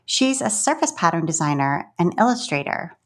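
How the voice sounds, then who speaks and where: Fairly low-voiced woman hosting a podcast. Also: very animated, normal speed. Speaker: podcast host. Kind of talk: scripted intro then interview questions.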